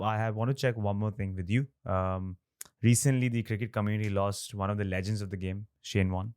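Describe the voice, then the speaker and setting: Smooth, low-voiced male podcast host. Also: dry recording, clean studio sound. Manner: enthusiastic, affirming. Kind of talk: casual interview chat.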